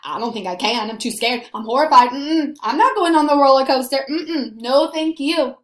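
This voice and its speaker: A woman's voice: high voice, moderate pace, lightly acted. Woman acting out a frightened, hesitant person, echoey. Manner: hesitant, stammering refusals. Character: scared reluctant person facing a roller coaster.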